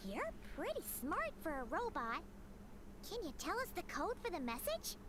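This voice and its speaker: Very high squeaky fairy girl. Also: huge pitch swings, normal speed, full character voice. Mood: puzzled. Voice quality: squeaky, childlike. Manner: naive, exclamatory. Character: tiny childlike floating fairy girl companion, excitable. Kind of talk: video game voice acting. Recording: heavy background noise.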